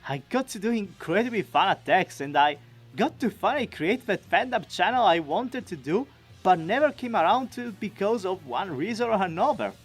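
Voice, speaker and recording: A man giving an enthusiastic, expressive, chatty scripted recap as a video creator. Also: high-pitched, normal speed, husky, soft background music. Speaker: video creator and amateur voice actor.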